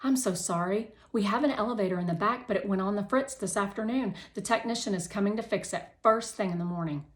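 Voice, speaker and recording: Woman reading male waiter, storybook, apologetic, polite, plain medium pitch. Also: quick pace, few pauses, lightly acted. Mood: apologetic, helpful. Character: apologetic restaurant waiter, polite young man.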